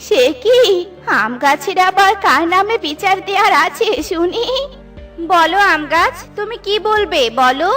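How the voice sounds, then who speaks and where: Woman voicing Tuni, a high-voiced kindly bird. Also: lively intonation, unhurried, fairly bright tone, soft background music, exaggerated voice. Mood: curious. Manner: caring, reassuring, firm. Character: kind, righteous little female bird Tuni. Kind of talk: cartoon storybook acting.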